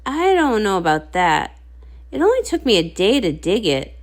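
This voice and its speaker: A woman's voice: medium pitch, sing-song, moderate pace. A woman voicing a strong, easygoing male pony digger with a modest, friendly manner for a storybook. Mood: easygoing, encouraging.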